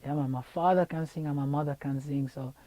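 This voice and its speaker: Laid-back young Jamaican man, mellow voice. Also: fairly high pitch, steady tone, brisk, no room sound. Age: young adult. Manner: easygoing, repeats words, many fillers. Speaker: singer being interviewed. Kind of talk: relaxed interview chat. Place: radio station studio room. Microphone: lapel mic.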